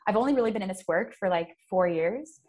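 A woman's voice: medium pitch, expressive, brisk, dark tone. A woman speaks as a guest on a casual podcast. She explains in an earnest, emphatic way and presses her points home. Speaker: guest, environmental advocate.

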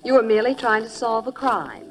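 A sarcastic, jealous gal Friday acted by a woman from forties radio banter. Her voice is highish and very animated, arch with mock sympathy, over music. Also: fairly quick, lightly acted. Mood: arch, teasing. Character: teasing, sarcastic, jealous female newspaper sidekick. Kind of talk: 1940s radio drama banter.

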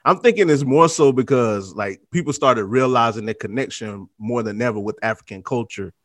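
A man's voice: mid-range voice, moderate pace, dry recording. A man hosting a podcast, talking in a casual, slangy way and tossing in "man" and "you know" often. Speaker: podcast host. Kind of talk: casual interview chat.

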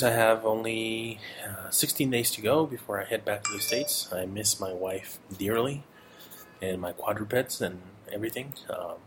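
A middle-aged man from Mexico giving a casual personal update, relaxed and rambling, with fillers and self-corrections. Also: on the low side, a little hiss or hum, rough recording. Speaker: audio blogger.

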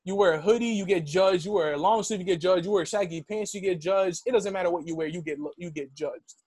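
A man's voice: high-pitched, fast. A young man of Dominican background telling a personal story in casual conversation, full of "like" and "yo.". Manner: animated storytelling, heavy use of "like".

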